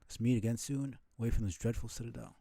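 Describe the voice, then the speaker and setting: A man's voice: mid-range voice, quick pace, gravelly, mellow, husky, no echo, studio quality. A man voicing a proud female warrior bug from a video game, with formal, declamatory speech. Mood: proud, triumphant. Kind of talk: video game dialogue read aloud.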